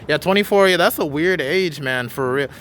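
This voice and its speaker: A man's voice: fairly high pitch, normal speed, a bit bright, a little hiss or hum. A man in his twenties hosting a podcast, chatting casually and telling his own story in an earnest, talkative way. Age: 20s. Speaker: podcast host. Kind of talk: casual interview chat.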